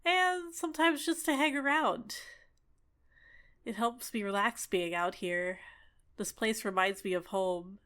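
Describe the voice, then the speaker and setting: A woman voicing a bubbly, clingy fairy with a sweetly menacing edge in an ASMR roleplay, talking in an excitable, coy, chatty way. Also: fairly low pitch. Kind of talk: ASMR roleplay, scripted character acting.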